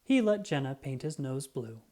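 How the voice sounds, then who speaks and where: A woman narrating a children's book in an expressive, scripted read-aloud style, acting out the dialogue. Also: very deep voice, normal speed.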